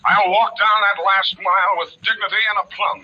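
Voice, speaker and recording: An actor playing a wisecracking, mock-heroic private detective speaking over the telephone in grandiose, mock-solemn 1940s radio style. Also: male voice, sits high, fairly even intonation, brisk. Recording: tinny telephone effect, old radio recording.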